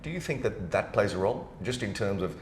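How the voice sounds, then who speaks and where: A middle-aged man with a deep voice hosts a casual podcast conversation. The room is noticeably echoey, with a faint low rumble behind him. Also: expressive, brisk. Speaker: podcast host.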